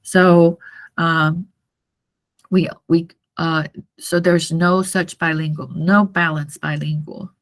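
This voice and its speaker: A woman university lecturer, a native Mandarin speaker from Taiwan, explaining material to a class in a halting, repetitive way with frequent checks for understanding. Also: low voice, little pitch movement. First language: Mandarin Chinese.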